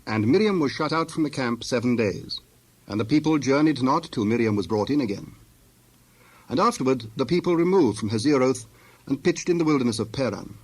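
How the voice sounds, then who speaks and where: A man narrating scripture from a script in a solemn, deliberate storytelling manner. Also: medium pitch, expressive, a little mellow, low-quality recording. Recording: clear background noise with birds.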